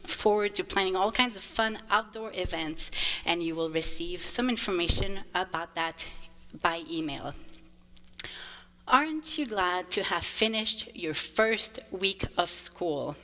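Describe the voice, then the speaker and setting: A woman whose first language is French, a choir director, gives a gentle children's message in church. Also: medium pitch. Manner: warm, simple storytelling to children. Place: church sanctuary. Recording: long reverb of a church sanctuary.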